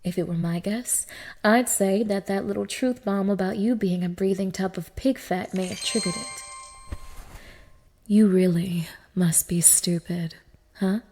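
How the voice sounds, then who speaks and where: A cruel young dominatrix played by a woman in an audio roleplay. Her voice sits at a middling pitch for a woman, close and intimate, and she sneers at the listener with haughty, belittling scorn. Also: fairly even intonation, measured pace, darkish tone, faint music behind. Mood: cold, mocking. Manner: sneering, scornful, swearing, swinging from breathy murmurs to raised snaps. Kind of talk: intimate ASMR roleplay acting.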